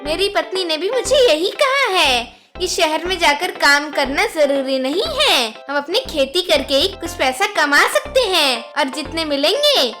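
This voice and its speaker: An anxious, agreeable pigeon husband from a children's cartoon, acted by a woman. The voice is childlike in height, bright and animated, earnest throughout, over background music. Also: high voice, unhurried, exaggerated voice.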